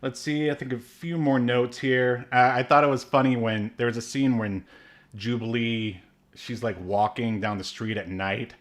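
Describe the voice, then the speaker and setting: A man's voice: mid-range voice, fairly even intonation, normal speed. A man co-hosting a podcast, rambling along with lots of ums and likes and laughing often. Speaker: podcast co-host. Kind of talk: casual chat.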